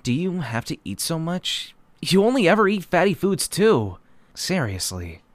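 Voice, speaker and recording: A man playing a blunt, skeptical, nagging high-school teenager in a manga dub. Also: big pitch swings, moderate pace, no room sound, studio quality. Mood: nagging, offhand.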